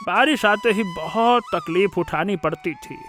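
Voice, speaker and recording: आदमी की निभाई ऊँची आवाज़ वाला भावुक बूढ़ा पिता. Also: male voice, high-pitched, very animated, unhurried, fairly bright tone, faint music behind, exaggerated voice. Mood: warm, reminiscing. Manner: exclamatory, repeats words of praise. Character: warm elderly village father, delighted and sentimental storyteller. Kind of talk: Hindi cartoon story acting.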